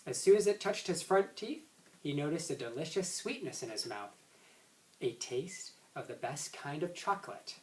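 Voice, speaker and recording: A man's voice: on the high side, lively intonation, normal speed, a little mellow. A man reading a children's story aloud expressively, now and then stumbling and rereading words. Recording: roomy echo, distant microphone.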